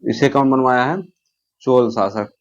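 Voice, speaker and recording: A man's voice: medium pitch, steady tone, measured pace, muffled recording. A man teaching an informal exam-prep lesson, posing questions, answering them himself and repeating key terms.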